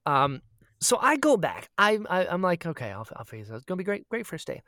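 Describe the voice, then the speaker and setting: A woman's voice: lowish voice, fast talker, dry recording, clean studio sound. A woman co-hosting a podcast, telling a story casually and expressively, often acting out her own remarks. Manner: animated, expressive, quotes herself dramatically. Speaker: podcast co-host.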